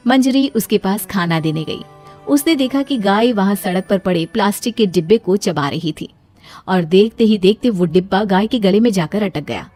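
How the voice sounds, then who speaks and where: A woman's voice: medium pitch, moderate pace, a little mellow. A woman reading a scripted story as its narrator. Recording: music behind the voice. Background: background music.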